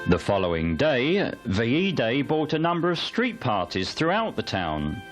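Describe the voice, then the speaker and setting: A man's voice: medium pitch, wildly swooping pitch, measured pace, soft background music. A man reading scripted documentary narration for a newsreel.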